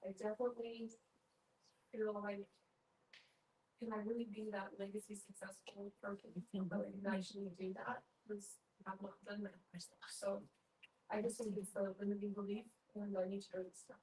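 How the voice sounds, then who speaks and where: A woman at a workshop quietly sharing her limiting beliefs in a hesitant way. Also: mid-range voice, little pitch movement, normal speed, reverberant, far from the mic, a little hiss or hum, low-quality recording. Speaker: workshop participant.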